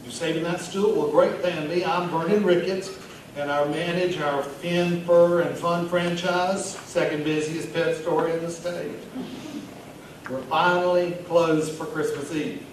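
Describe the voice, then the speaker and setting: A man's voice: on the high side, fairly even intonation, a little slow, far from the mic, close to an everyday voice. A man performing a story monologue as a chatty, boastful small-town pet store manager, folksy, jovial and confiding. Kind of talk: dramatic reading of short story monologue. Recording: very echoey room from afar, clear noise.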